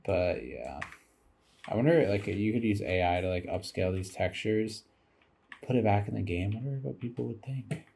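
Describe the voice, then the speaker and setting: A man's voice: on the low side, lively intonation, moderate pace, gravelly, dark tone, a little hiss or hum. A tired man giving rambling, casual commentary over game footage, musing aloud as he goes and swearing casually.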